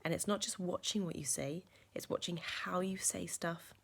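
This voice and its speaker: A woman, croaky from a sore chest, talking earnestly in a reflective vlog with many ums and restarts. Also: on the low side, moderate pace. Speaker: vlogger.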